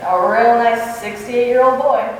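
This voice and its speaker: Wry schoolmarm acted by a woman for a vintage radio sitcom, deadpan wisecracks, captured far from the mic with faint noise. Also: a little high, moderate pace, lightly acted. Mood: wry, teasing. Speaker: lead actor in a stage radio play. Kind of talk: 1950s radio comedy acting. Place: live stage before a studio audience. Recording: echoey hall, distant mic, faint noise.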